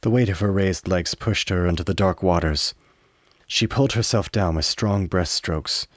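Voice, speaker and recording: Male narrator for radio drama: deep, dry-miked, measured literary storytelling. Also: no room sound. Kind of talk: scripted radio play narration.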